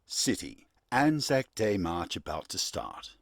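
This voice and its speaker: Radio play narrator: male, low-pitched, unhurried yet varied in intonation, music underneath. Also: huge pitch swings, vocal fry.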